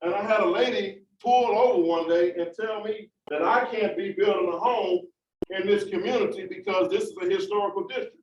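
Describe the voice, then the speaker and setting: A man speaks out with fervent, repetitive emphasis, his voice pitched high. He is caught from a distance in a roomy hall on a dull, muffled recording, with a faint hiss behind him. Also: fairly quick, a little mellow. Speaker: formerly incarcerated community speaker. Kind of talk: impassioned panel remarks. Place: large meeting room.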